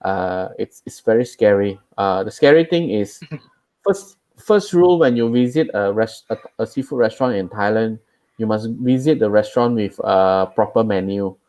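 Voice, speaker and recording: A man co-hosting a casual chat, talking haltingly with repeated words as he gives advice. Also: on the low side, expressive, darkish tone.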